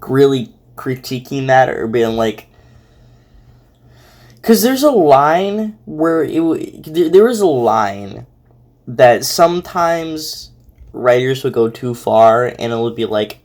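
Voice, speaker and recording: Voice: mid-range voice, creaky, darkish tone. A commentator giving casual, rambling reactions, thinking aloud hesitantly in slangy everyday words.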